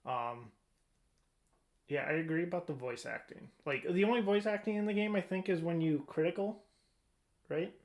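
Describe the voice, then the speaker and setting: A man's voice: fairly high pitch, moderate pace, pauses often. A man co-hosting a podcast, chatting casually about video games in an informal, rambling way as he thinks aloud. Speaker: podcast co-host.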